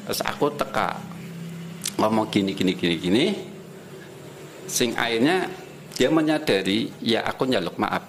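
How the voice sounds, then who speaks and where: A man's voice: on the low side, measured pace, vocal fry, pauses often, echoey room, a little hiss or hum. Tegal-accented man telling stories. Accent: Tegal Javanese. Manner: relaxed storytelling, mixing in regional dialect. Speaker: podcast guest. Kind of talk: casual conversation.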